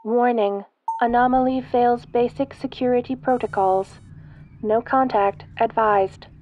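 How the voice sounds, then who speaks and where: A woman's voice: on the high side, fairly even intonation, a little slow, narrow, tinny audio, lightly acted. A woman voicing an impassive spaceship computer, giving formal, clipped announcements in a sci-fi audio roleplay. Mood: impassive, warning. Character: impassive female ship computer, procedural, alarm-giving machine.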